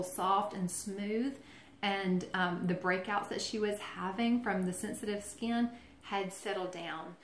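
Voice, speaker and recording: A woman talks in a relaxed, chatty way, pausing on ums and circling back as she explains things. Her voice sits at an ordinary middle pitch. She is recorded in an echoey room with a faint hum behind her. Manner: chatty, friendly, filler-heavy explaining. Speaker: essential oils presenter. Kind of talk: casual how-to talk.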